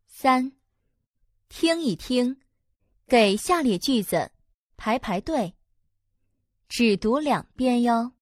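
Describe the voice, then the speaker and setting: Lively woman reading test instructions. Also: on the high side, slow pace, long pauses, no echo, clean studio sound. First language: Chinese. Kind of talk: scripted instructions for a listening test.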